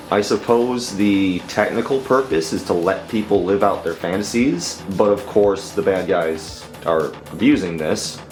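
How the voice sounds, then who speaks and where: A man giving a casual review as a commentator, halting and repeating himself for emphasis. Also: fairly low pitch. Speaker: comic book reviewer.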